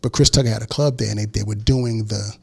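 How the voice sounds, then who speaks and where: Man, 40s, gravelly voice, interview guest, storytelling, acts out quotes. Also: fairly low pitch, fast talker. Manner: animated storyteller acting out quotes. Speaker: guest record producer.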